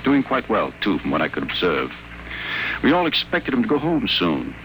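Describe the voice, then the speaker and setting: An actor playing a guarded, secretive addict patient, confiding in low, careful tones, in 1940s radio acting style. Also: male voice, huge pitch swings, normal speed, fairly bright tone, slight background noise, poor audio.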